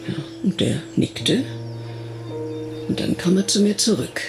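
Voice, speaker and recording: A man with a gravelly voice narrating a radio drama in the first person, reminiscing in a conversational way. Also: high voice, very animated, measured pace, music in the background, full character voice.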